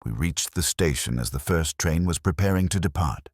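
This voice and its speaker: Very deep-voiced man narrating a detective story. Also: animated, normal speed, no echo, clean studio sound. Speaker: narrator and audiobook reader.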